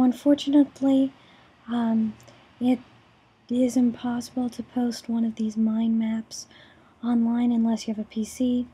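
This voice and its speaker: A young woman with a hoarse, raspy voice after throat surgery, presenting her course project over a screen recording with many ums and restarts. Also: a little high, warm tone. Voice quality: raspy, breathy.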